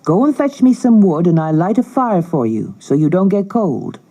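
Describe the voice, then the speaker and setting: A woman voicing a gentle, reluctant woodcutter father in a storybook, mild and troubled. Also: on the low side, sing-song, moderate pace, voice pushed up. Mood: kindly.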